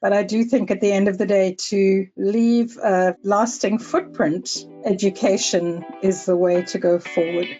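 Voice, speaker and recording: A woman in her sixties with a Zimbabwean accent, a researcher answering interview questions in a measured, storytelling way. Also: medium pitch, steady tone, warm tone, dull audio, soft background music. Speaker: guest researcher.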